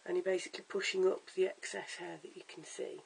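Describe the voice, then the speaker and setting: A hoarse, croaky-voiced vlogger giving a casual at-home wig tutorial in a chatty, stop-start way, filmed on a camera's own mic. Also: mid-range voice, level tone, a little fast, rough recording. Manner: chatty, stop-start with fillers and self-corrections. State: hoarse, lost voice. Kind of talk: casual how-to demonstration.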